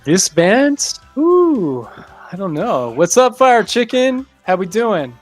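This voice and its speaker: A man's voice: sits high, sing-song, takes it slowly, soft background music. A man hosting a live stream, upbeat and casual, greeting listeners while posting links.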